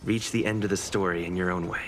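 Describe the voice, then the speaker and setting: A man's voice: sits low, fairly even intonation, fast, over music, lightly acted. A man voicing an earnest young lancer adventurer in a video game. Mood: matter-of-fact.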